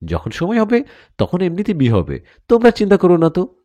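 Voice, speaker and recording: Earnest young daughter in an audio tale, voiced by a male narrator: pleading, animated, soft mellow tone. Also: high voice, brisk, no echo, pitched above the performer's own voice, heavily acted. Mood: reassuring. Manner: pleading, protesting. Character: earnest young village daughter in love with a jinn.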